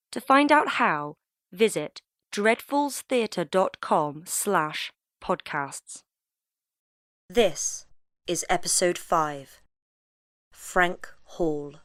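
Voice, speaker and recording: A woman announcing a podcast from a script. Her voice sits a little low, she takes her time, and the sound is very dry and close. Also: expressive, takes it slowly, a bit bright, no room sound.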